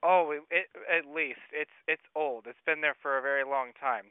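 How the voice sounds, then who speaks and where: A man's voice: on the high side, moderate pace, clear, resonant tone, no room sound, natural voice. Man playing a stammering ancient mummy pharaoh. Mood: deadpan. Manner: hesitant, stammering, deadpan. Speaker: prank caller. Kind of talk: prank phone call. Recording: tinny phone line.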